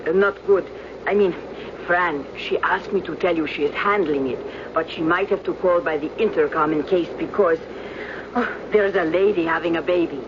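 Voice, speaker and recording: A male actor plays a nervous messenger on a troubled airliner in a radio drama. He is halting and stammering, with a high voice. The recording is dull, with clear droning noise. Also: close to an everyday voice. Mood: nervous, hesitant. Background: aircraft drone.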